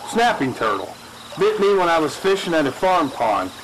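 An eager, joking young angler, played by a man, shows off in a parody radio play. His voice is high and swings widely, with clear watery noise behind it. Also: big pitch swings, moderate pace. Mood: playful, boastful. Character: younger boastful fishing mate comparing scars. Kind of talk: parody radio drama dialogue.

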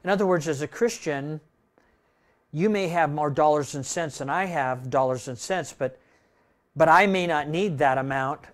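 A man's voice: a little high, moderate pace. Older male pastor, conversational preaching. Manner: conversational preaching, tag questions, dynamic swings in loudness. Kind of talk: sermon. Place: church room with projector screen.

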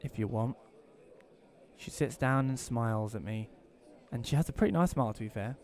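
A man's voice: mid-range voice, normal speed, darkish tone, lightly acted. A man playing a curt, sarcastic, antisocial widower who narrates his own story in an audio play. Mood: weary, dry. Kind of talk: first-person audio play narration and dialogue.

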